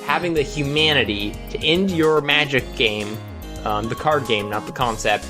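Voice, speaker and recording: A man's voice: medium pitch, moderate pace, music in the background. A man hosting a casual chat in a playful, teasing way with dry humour. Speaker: podcast host.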